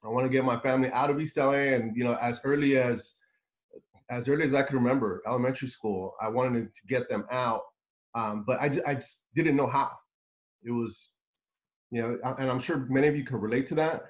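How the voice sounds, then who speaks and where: A man's voice: medium pitch, steady tone, a little fast. A middle-aged man from the United States giving a conversational talk over a video call from a home office, with fillers and repeated words. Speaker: guest speaker. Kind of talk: webinar talk.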